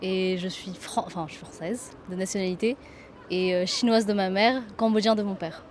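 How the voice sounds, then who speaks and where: A woman's voice: medium pitch, fairly even intonation, moderate pace, a little hiss or hum. A woman from France answering a street interview in an earnest, frank way. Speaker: passer-by.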